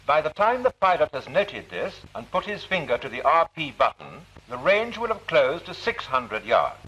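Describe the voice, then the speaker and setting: Animated, husky British instructional film narrator, male. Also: fairly high pitch. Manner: formal, didactic. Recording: dull, muffled vintage film soundtrack.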